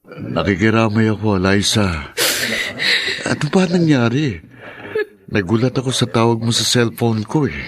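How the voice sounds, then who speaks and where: A man's voice: lowish voice, expressive, moderate pace, long pauses, close to an everyday voice. An actor playing a loyal, sympathetic young man in a Tagalog radio drama, with an earnest, slightly hesitant manner.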